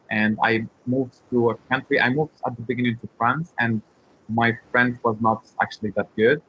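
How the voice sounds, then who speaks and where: A man giving informal interview answers as a guest, halting and repeating words as he searches for phrasing. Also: medium pitch, husky. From Morocco. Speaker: startup founder, webinar guest. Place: online webinar call. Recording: muffled, band-limited online call.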